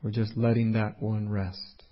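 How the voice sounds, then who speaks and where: A man leading a guided meditation as a teacher, speaking unhurriedly in short phrases with long pauses. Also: on the low side, flat delivery, lo-fi sound.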